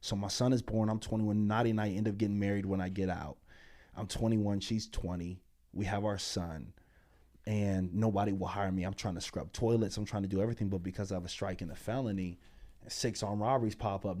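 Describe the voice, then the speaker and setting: A man in his twenties, a pastor and speaker, telling his story as a podcast guest in animated, slangy talk. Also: fairly low pitch. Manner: animated, slangy storytelling that climbs loud when excited.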